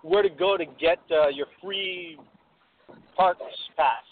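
A man's voice: fairly high pitch, takes it slowly. A man co-hosting a casual radio chat over a phone line, with a jokey way of talking. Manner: jokey, acts out quotes. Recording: dry, tinny phone line.

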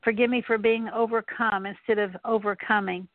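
A woman's voice: mid-range voice, steady tone, normal speed, no room sound. A woman leading a spoken prayer of repentance, earnestly repeating one confession after another. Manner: earnest, repetitive litany of confessions. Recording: tinny phone-like line, dry.

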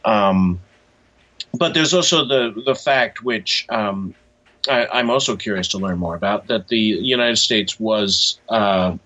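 A man's voice: fairly low pitch, sharp tone, muffled recording. A man speaking as an interview guest, reflective and hesitant, often restarting his phrases.

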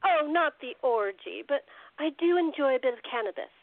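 A woman acts out a playful 1920s socialite hostess for a radio play, her voice pitched high. She is languid and flirtatious, firm underneath, and fond of indulgence. The sound is thin and phone-like. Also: lively intonation, brisk. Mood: breezy, indulgent. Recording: tinny, phone-like sound with echo.

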